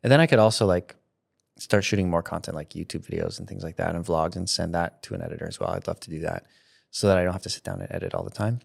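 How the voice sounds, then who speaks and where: A man's voice: deep voice, expressive, fast, creaky, breathy edge. A man in his thirties talking casually as an interview guest, rambling and restarting his sentences, often laughing. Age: 30s. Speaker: content creator guest. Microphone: studio mic with headphones.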